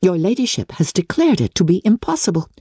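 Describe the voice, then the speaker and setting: A woman voicing a proud, composed young gentlewoman in a storybook reading, politely firm and coolly defiant. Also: fairly low pitch, very animated, slightly rough, no echo, studio quality, voice pushed up, exaggerated voice. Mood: calm defiance. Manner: cool, measured, politely firm.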